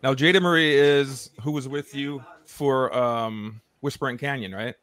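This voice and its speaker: A man's voice: medium pitch, steady tone, measured pace, fairly bright tone. A man from Brooklyn hosting a live stream, asking his guest questions and reacting with short upbeat remarks. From Brooklyn, New York. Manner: upbeat short reactions, reads viewer comments. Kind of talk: casual interview chat. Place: home studio room with neon lights. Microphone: studio mic on a boom arm.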